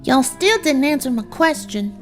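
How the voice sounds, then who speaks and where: A woman's voice: high voice, expressive, normal speed, soft background music, pitched above the performer's own voice. A woman voicing a nervous, spunky young farm filly with a rural drawl, played from a pony fanfic reading.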